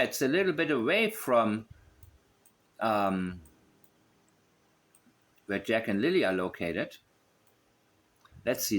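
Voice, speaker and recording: A man's voice: medium pitch, fairly bright tone. A man giving unscripted online commentary as he works through a map, thinking aloud and repeating himself as he goes. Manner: musing, thinking aloud, repetitive. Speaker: online host.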